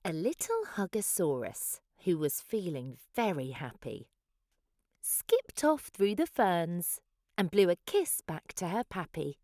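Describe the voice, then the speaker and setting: A woman's voice: lowish voice, sing-song, takes it slowly, warm tone, dry recording, studio quality. A woman reading a children's picture book aloud in a dramatic, sing-song rhyming delivery. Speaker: children's story reader.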